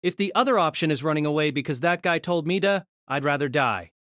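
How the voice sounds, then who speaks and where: A woman voicing a stubborn, defiant teenage wolf-boy mage with a gruff, curt manner. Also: low-pitched, animated, fast, a bit bright, dry recording, clean studio sound, pitched below the performer's own voice.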